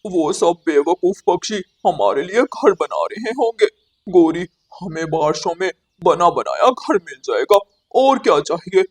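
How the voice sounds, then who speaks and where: Woman acting male cartoon crow, lowered pitch, sly, lazy, smug, scornful. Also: big pitch swings, measured pace, a little mellow, breathy edge, heavily acted.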